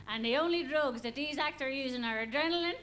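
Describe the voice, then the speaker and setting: A woman's voice: high voice, fast, a bit bright. A woman with an Irish accent doing a stand-up impression of a naive, chatty female athlete, rambling along with lots of verbal tags. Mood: chatty, confiding. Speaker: comedy show host, stand-up comedian. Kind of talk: stand-up monologue in character. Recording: live stage with crowd, faint noise. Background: live audience.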